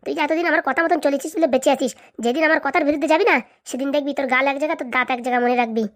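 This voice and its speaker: A woman's voice: high-pitched, racing, close to an everyday voice. A woman voicing a domineering, scheming middle-aged wife in a comic cartoon, scolding, threatening and boasting.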